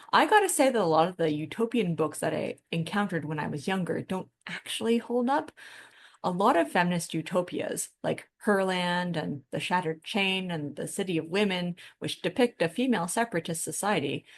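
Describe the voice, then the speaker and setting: A woman with a lowish voice and a noticeable creak co-hosts a podcast. She chats informally and reflectively, thinking her points through as she goes. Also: expressive, moderate pace. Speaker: podcast co-host. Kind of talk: casual podcast discussion.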